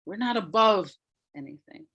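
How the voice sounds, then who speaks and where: A woman's voice: medium pitch, animated, moderate pace, fairly bright tone, slightly rough. A woman speaking as a playwright guest in a thoughtful interview, reflective and repeating words for emphasis.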